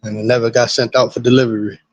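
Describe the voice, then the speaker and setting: A man with a raspy smoker's voice, rambling casually and profanely to his live-stream chat while high. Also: flat delivery, fast talker, no room sound. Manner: laid-back, rambling, profane. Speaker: livestreamer. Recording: dull lo-fi stream audio.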